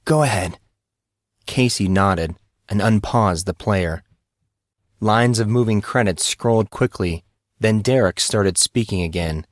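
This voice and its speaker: A man's voice: fairly low pitch, unhurried, no echo, clean studio sound. A man reading a scripted story aloud as a dramatic audiobook narrator.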